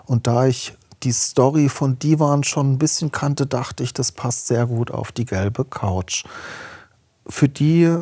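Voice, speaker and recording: A man hosting a regional business podcast, asking questions in a relaxed, conversational way. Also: mid-range voice, slow, husky, no room sound. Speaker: podcast host.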